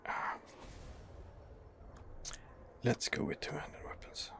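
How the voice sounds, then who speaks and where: A man softly narrating a video game in ASMR style, musing hesitantly as he thinks aloud. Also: takes it slowly, slight background noise. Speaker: gamer narrating a role-playing game playthrough. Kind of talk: whispered ASMR game commentary.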